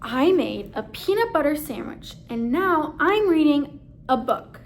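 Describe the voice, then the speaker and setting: A woman's voice: sits high, sing-song, a little slow, slight background noise, exaggerated voice. Woman reading as an exasperated, grumbling alligator. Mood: defensive. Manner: grumbling, emphatic. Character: exasperated male alligator, put-upon picture-book hero. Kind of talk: children's picture book read-aloud.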